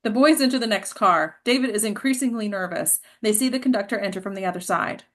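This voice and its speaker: A woman's voice: medium pitch, fast talker, fairly bright tone. A woman reading stage directions aloud as scripted narration.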